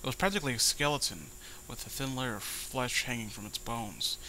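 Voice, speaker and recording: Bright-voiced man mockingly reading a horror story. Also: mid-range voice, brisk, slight background noise, low-quality recording. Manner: sarcastic, dramatic reading broken by asides. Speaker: host reading amateur horror stories.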